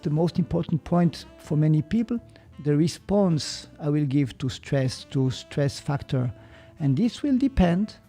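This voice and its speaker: A man with a Swiss German accent, explaining ideas on a podcast in a slow, reflective way and sometimes searching for English words. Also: fairly high pitch, mellow, husky. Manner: slow, thoughtful, gets stuck on English words. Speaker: podcast guest, martial arts teacher.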